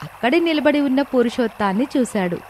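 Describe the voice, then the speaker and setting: A woman's voice: high voice, expressive, brisk, a little hiss or hum. A woman narrator reading a scripted moral tale in storytelling style.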